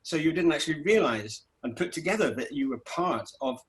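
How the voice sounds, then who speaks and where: Halting Scottish-raised man, film director. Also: fairly high pitch, lively intonation, low-quality recording. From Scotland. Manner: halting, many restarts and fillers. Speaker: documentary director. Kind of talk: festival Q&A interview.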